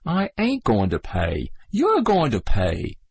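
A man's voice: medium pitch, huge pitch swings, slow, gravelly, husky, no echo, pitched above the performer's own voice, full character voice. A man voicing a sly, slangy young American soldier in a read-aloud story. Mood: cocky. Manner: brash, slangy, carefree. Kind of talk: audiobook reading.

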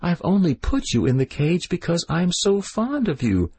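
A man voicing a gentle young princess who dotes on her pet bird, in a storybook reading. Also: sits high, wildly swooping pitch, moderate pace, husky, voice pushed up, heavily acted. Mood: coaxing. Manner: coaxing and earnest.

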